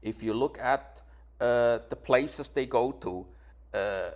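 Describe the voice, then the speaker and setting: A man's voice: medium pitch, slow pace. A man in his forties from Switzerland, answering questions in a casual interview, defensive and prone to repeating words and restarting sentences. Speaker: YouTuber being questioned.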